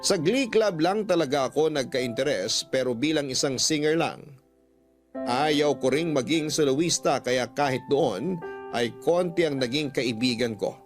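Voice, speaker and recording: A man's voice: mid-range voice, animated, a little slow, faint music behind. A man narrating a sentimental first-person story as a radio-style storyteller, reading out a letter.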